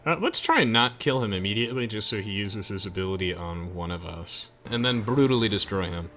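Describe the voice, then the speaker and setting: A man's voice: fairly low pitch, animated, brisk, bright, forceful tone, a little hiss or hum. A young man hosting a casual let's play, explaining the game with enthusiasm. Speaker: gaming video host. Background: faint game music.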